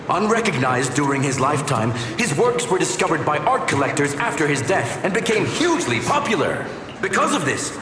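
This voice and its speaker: A man playing a showy, flattering auctioneer in a video game, theatrical and grandiose, as if addressing a hall. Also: sits high. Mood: grand, intriguing. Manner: theatrical, grandiose, drawn-out calls. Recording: echoey hall, noise behind.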